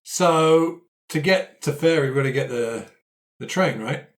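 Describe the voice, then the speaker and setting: A man's voice: on the high side, unhurried, close to an everyday voice. A man improvising a cocky, vengeful adventurer in a roleplay, speaking in a blunt, offhand, matey way. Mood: breezy. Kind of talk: improvised roleplay for an actual-play podcast.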